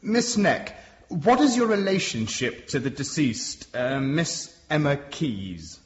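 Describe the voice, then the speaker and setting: A man's voice: a little high, very animated, takes it slowly. An actor playing a deferential, careful Victorian male barrister, formal and slightly hesitant, in a radio drama. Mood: formal, patient. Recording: echoey courtroom, slightly muffled.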